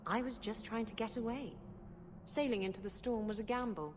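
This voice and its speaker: A woman's voice: a little high, a little fast, darkish tone, big-room sound, a little hiss or hum, natural voice. A woman playing a devil-may-care, brash pirate captain in a video game. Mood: breezy, defiant. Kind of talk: video game cutscene acting.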